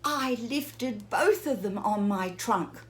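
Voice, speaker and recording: An earnest male elephant, as a woman reads him aloud, telling his tale slowly and with drama. The voice rises and falls with animated emphasis, a gentle children's storybook figure. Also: a little high. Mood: emphatic.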